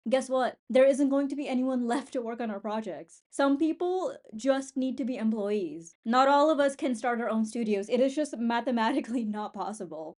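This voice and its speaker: A woman's voice: a little high, a little mellow. A woman artist hosting a talking-head video, reacting to a clip in casual, emphatic commentary. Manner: emphatic, conversational. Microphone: desk talking-head setup.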